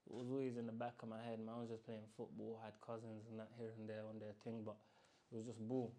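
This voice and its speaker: A man's voice: fairly low pitch, monotone, fast, warm tone. Laid-back young south London man. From south-east London. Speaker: guest. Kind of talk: casual interview answers.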